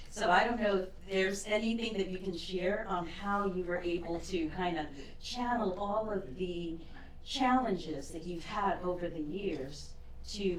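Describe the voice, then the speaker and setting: A woman in the audience asking an earnest question in a slow, halting way. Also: on the low side. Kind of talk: audience question at a public event. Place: event hall. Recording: echoey hall from far off, faint noise.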